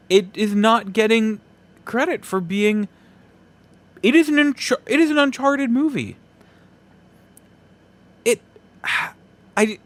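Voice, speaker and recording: A man with a slightly high-pitched voice talks casually as a solo podcast host. His delivery is slow and halting, broken into short phrases, with emphatic stresses on key words. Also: a bit bright. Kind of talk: casual solo opinion talk.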